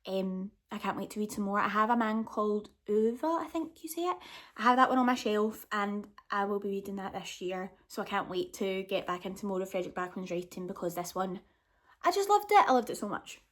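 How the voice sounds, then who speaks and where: A woman's voice: mid-range voice, animated, fairly quick, a bit bright. A woman chatting casually and enthusiastically to camera as a book vlogger, often gushing.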